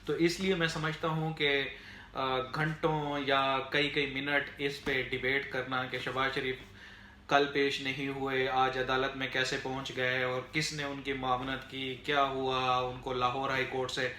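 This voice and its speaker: A man with a Pakistani accent giving unscripted political commentary to viewers in a halting, explanatory way, with frequent filler phrases. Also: medium pitch, flat delivery, bright, forceful tone. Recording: echoey room, faint background noise.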